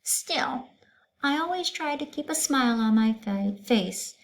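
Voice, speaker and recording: Woman putting on a fed-up schoolteacher, pitched up. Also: lively intonation, measured pace. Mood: hurt, aggrieved. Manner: emphatic, complaining. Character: fed-up, long-suffering woman schoolteacher, aggrieved.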